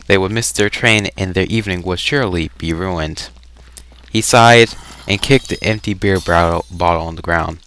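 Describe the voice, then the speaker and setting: A young man chatting casually over a game and reading fan fiction aloud, halting and stumbling over words now and then. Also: low-pitched, pushed and bright, a little hiss or hum.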